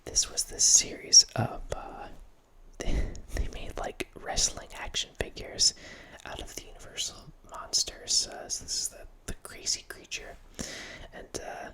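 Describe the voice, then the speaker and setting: A man in his thirties whispers right into a close studio mic from a small room at home. His delivery is loose and rambling, full of restarts and small self-corrections. He keeps it soft and breathy the whole way through. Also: unhurried, rough recording. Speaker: host. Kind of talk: whispered ASMR horror film ramble. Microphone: studio mic close up, headphones.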